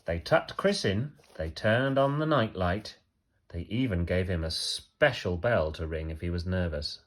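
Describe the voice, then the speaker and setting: A man reading a children's story aloud like a teacher, expressively, with emphatic phrasing and pauses for effect. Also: deep voice, expressive.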